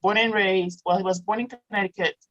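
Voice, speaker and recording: A woman's voice: medium pitch, fairly even intonation, brisk, a bit bright, lo-fi sound. A woman in her thirties, raised in Morocco with French as her first language, chatting as a guest, laughing often and restarting sentences. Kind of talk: casual interview chat.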